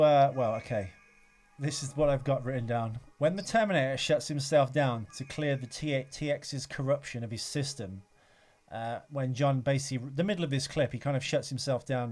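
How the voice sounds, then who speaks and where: A man's voice: mid-range voice, moderate pace, a little mellow, faint music behind. A man co-hosting a podcast, chatting casually and jokily about films in a rambling, sweary way. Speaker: podcast co-host. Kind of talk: casual comic film chat.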